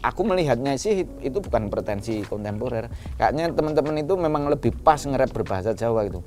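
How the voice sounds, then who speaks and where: Animated Javanese-accented man. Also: medium pitch, fast talker, faint music behind. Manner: animated, mixes in Javanese phrases, laughs often. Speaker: hip hop collective member, guest. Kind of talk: casual podcast chat.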